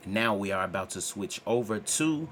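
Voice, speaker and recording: A man with a very deep voice hosts his channel and talks through a microphone review in a loose, self-assured, rambling way. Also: lively intonation, normal speed, fairly bright tone, slight background noise. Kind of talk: casual tech review talk. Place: home computer setup with a loud PC fan. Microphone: desktop USB microphone.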